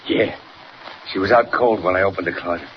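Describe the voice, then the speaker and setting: Radio serial henchman, acted by a man, low male voice, callous, businesslike, muffled 1940s sound, light noise. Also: fairly quick, natural voice.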